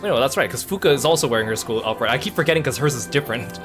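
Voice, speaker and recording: A man streaming a video game, chatting casually and quickly. His asides ramble along conversationally, with game music playing behind him. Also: mid-range voice, animated. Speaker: let's play streamer. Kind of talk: casual gameplay commentary. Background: video game music and dialogue.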